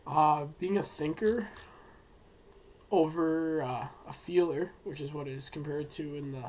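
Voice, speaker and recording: A young man who is sick and losing his voice, giving an unscripted school presentation with many pauses and fillers. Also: a little high, a little mellow, a little hiss or hum. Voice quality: hoarse. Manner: hesitant, many ums and uhs, thinking aloud. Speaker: student presenting a school project.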